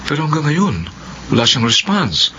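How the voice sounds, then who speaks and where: A man's voice: fairly high pitch, moderate pace. A Filipino man playing an eager, chatty middle-aged former classmate in a casual radio drama scene. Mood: gossipy. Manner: animated, casual. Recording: dull, noisy lo-fi radio recording.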